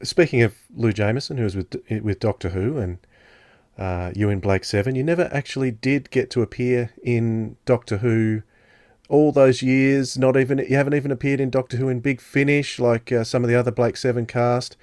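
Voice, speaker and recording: A male podcast host with a mid-range voice and a dry recording. He talks conversationally, halting a little as he sets up detailed questions for his guest. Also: medium pitch, steady tone, a little mellow, no echo.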